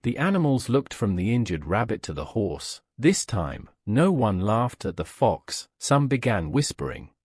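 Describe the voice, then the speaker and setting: A man's voice: lowish voice, sing-song, measured pace, few pauses, darkish tone, dry recording, clean studio sound. A man narrating a scripted moral story as a storyteller.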